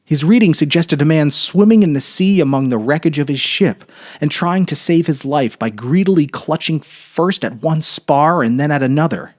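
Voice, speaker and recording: A man narrating a short story as a dramatic reading, with theatrical, measured phrasing. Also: a little high.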